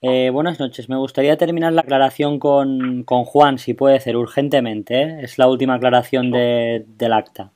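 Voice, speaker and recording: A man with a Spanish accent making a prank phone call, talking in a brisk, officious manner. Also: mid-range voice, steady tone, mellow. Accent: Spain. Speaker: prank caller.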